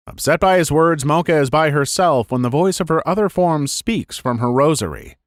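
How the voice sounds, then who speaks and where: Male narrator for scripted recaps: slightly high pitch, highly animated intonation. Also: dry recording, clean studio sound. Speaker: narrator of anime recap videos.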